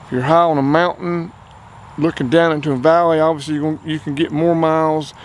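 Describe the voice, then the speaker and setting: A man's voice: a little high, a little fast, slight background noise. Chatty North Carolina man outdoors. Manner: relaxed, chatty, explains as he goes. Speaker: outdoors channel host. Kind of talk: casual gear-test vlog. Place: outdoors by a car.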